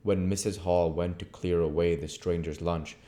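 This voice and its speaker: A man's voice: sits low, fairly even intonation, moderate pace, warm tone. A man narrating a classic novel aloud as an audiobook reader. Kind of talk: storybook narration, read aloud.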